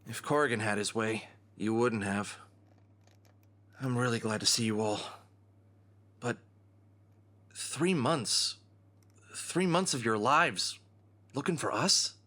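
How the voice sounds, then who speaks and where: A man playing an earnest, cocky young fox adventurer in an expressive audio drama style. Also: medium pitch, slow pace, pauses often. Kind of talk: audio drama cartoon acting.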